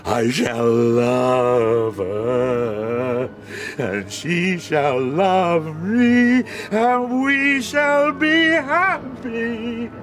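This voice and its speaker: A slow, anguished monster. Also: male voice, fairly high pitch, a bit bright, faint music behind. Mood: worshipful, hopeful. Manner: slow, theatrical delivery, swinging from pleading cries to menacing whispers. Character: anguished, vengeful male monster, created by a scientist. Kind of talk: dramatised audiobook acting.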